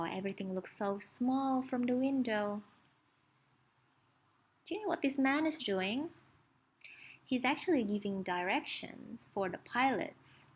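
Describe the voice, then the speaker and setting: Woman, children's storyteller, highish voice, slightly husky, unhurried, animated swoops, chatty asking asides. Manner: expressive, asks the listener questions, points things out. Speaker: storyteller reading a children's picture book. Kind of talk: children's read-aloud with chatty asides to young listeners.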